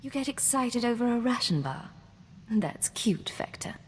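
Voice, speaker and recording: A woman's voice: fairly high pitch, lively intonation, measured pace. A woman playing a cool, self-assured intelligence agent in a video game, composed and wry. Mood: wry, teasing. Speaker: game player character. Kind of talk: scripted game dialogue acting. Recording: reverberant hall, faint background noise.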